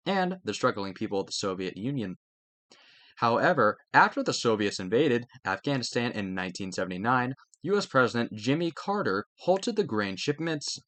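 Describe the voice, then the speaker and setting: Man reading aloud with lively, swinging pitch. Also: dry recording. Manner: stumbles over words and self-corrects while reading. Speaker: reader of a study textbook aloud. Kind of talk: scripted read-aloud of a history textbook.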